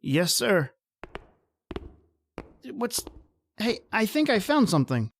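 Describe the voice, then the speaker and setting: An actor playing an anxious, obedient manservant in an old-time radio horror drama. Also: male voice, high voice, sing-song, takes it slowly, slight background noise, full character voice. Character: nervous, deferential manservant named John.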